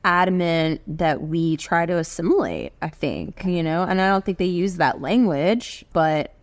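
Earnest low-voiced woman, reflective. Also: lively intonation, measured pace. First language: Spanish. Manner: earnest, reflective, many fillers. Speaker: guest. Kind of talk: casual panel conversation.

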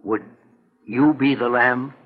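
Husky, measured radio detective. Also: male voice, medium pitch, fairly even intonation. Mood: grave, deliberate. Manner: measured, earnest, explanatory. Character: calm, deliberate male private investigator, mature and authoritative. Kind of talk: 1940s radio detective drama acting. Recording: muffled vintage 1940s radio recording.

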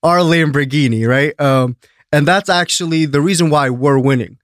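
Male guest raised in Greece, a touch high, earnest and halting, with a dry mic. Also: no room sound. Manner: earnest, stammers on starts. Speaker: guest, talent agency principal. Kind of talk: casual interview talk.

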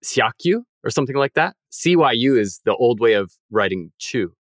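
A man's voice: medium pitch, expressive, normal speed, no room sound, studio quality. A man co-hosting a podcast, explaining things in a methodical, precise way. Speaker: podcast co-host.

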